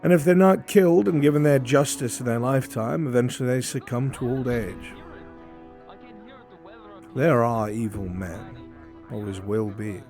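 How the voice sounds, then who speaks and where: A man playing a solemn, philosophical imperial in a fantasy roleplay, speaking in a measured, brooding way with deliberate pauses. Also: medium pitch, darkish tone, lightly acted. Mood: grave, brooding. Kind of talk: improvised fantasy roleplay acting. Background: background music.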